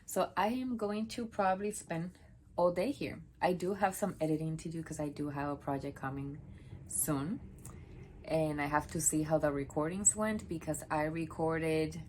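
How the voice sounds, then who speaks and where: Low-voiced chatty woman from Puerto Rico. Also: darkish tone, a little hiss or hum, low-quality recording. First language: Spanish. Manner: rambling, self-correcting, chatty. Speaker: book vlogger. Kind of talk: casual talk to camera. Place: home office, sitting on the floor.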